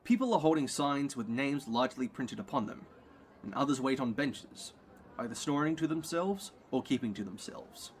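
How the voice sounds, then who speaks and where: A man's voice: medium pitch, expressive, normal speed, slight background noise. A man reading scripted scene narration for an audio play.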